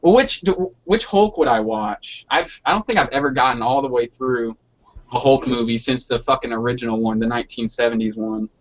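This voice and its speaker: A man's voice: medium pitch, brisk. Sweary man on a lo-fi call. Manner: sweary, slangy. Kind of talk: casual chat. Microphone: online video hangout. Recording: dull lo-fi online call.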